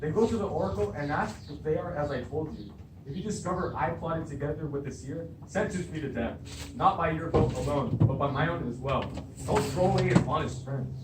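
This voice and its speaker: An earnest nobleman defending his honor in a stage play acted by a young male student. His voice is a bit high and measured, with room echo and clear noise behind it. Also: expressive, lightly acted. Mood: earnest, defensive. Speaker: drama student. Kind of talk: beginner stage readers' theatre. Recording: roomy, distant mic, clear noise.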